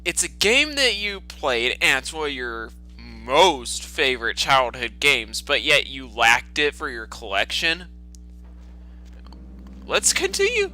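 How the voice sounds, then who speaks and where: Bright, forceful man reading with sarcasm. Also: medium pitch, very animated, slow pace, soft background music. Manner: sarcastic, foul-mouthed, exasperated asides. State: lingering cough, clears throat. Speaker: host of a storytime reading series. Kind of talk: mocking dramatic reading with commentary.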